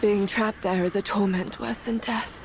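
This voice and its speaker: An actress playing a calm, lofty female immortal in a video game, soft and measured with whispery moments. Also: medium pitch, a little hiss or hum, lightly acted. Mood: serene. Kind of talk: video game cutscene acting. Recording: game audio over ambience.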